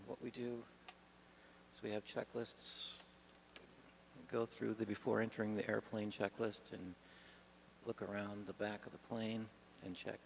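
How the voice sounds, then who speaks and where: A man giving an informal, halting lesson as he demonstrates pilot procedures, speaking in an improvised way. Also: lowish voice, gravelly, rough recording. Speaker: pilot demonstrating radio practice. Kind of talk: informal instructional talk. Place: garage. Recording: faint background noise.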